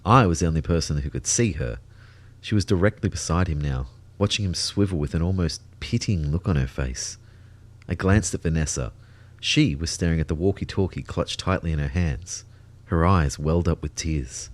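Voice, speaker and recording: A man playing a melancholy ghost who narrates his own story in measured, reflective audio fiction. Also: very deep voice. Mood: observant, pitying. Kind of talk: first-person scripted story narration.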